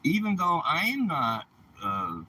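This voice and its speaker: Bright-voiced, indignant man in his seventies. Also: a little high, huge pitch swings, slow, a little hiss or hum, poor audio. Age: 70s. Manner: indignant, emphatic, rhetorical questions. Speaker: vlogger. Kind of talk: aggrieved personal vlog monologue.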